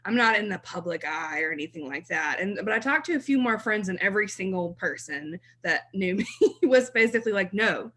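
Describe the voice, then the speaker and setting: A chatty woman in her thirties, originally from South Mississippi, chats as a podcast guest about her career. Her voice is bright and forward, and she laughs easily between thoughts. Her pitch sits in a middle range for a woman. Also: lively intonation, fairly quick. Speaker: blog writer, guest. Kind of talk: podcast interview.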